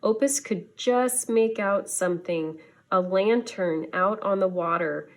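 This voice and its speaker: Female storybook reader, teacherly: unhurried, expressive delivery at a medium pitch, for young listeners. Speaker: schoolteacher reading to students. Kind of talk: children's storybook read-aloud.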